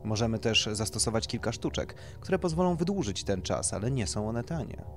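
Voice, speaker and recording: Guy doing a polite clinical doctor pitching treatments, audiobook story, loud music underneath. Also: very animated, a little fast. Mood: salesman-like. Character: smooth, tactful male doctor selling grisly procedures. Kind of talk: audiobook character dialogue.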